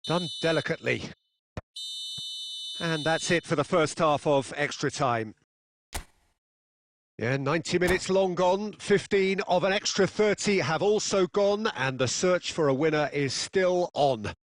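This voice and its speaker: A man's voice: fairly high pitch, measured pace. A man voicing a football video game's play-by-play commentator, with dramatic, punchy phrasing. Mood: engaged, dramatic.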